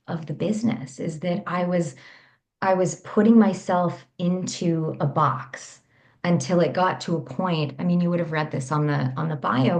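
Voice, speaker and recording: Soft, low-pitched woman telling a candid story. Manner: soft, reflective, with frequent restarts. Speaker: guest, women's coach.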